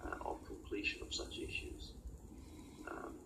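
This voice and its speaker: A man delivering a spiritual channeling monologue as a guide, in halting, oracular short phrases with many pauses. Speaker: channeled guide speaking in a session. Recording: roomy, distant mic with clear noise.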